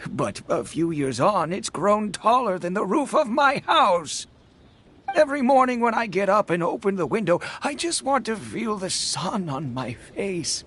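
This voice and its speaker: A grumbling older village man from a video game, plaintive and full of sighs. He talks slowly in a highish, swooping voice, with faint music underneath. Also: fairly bright tone, slightly rough, exaggerated voice. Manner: sighing, hesitant, plaintive. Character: aggrieved, grumbling older village father, easily worked up.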